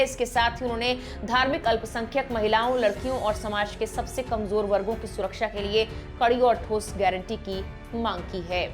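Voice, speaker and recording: Female news presenter, somewhat high voice, formal scripted read with emphasis, light music underneath. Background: background music.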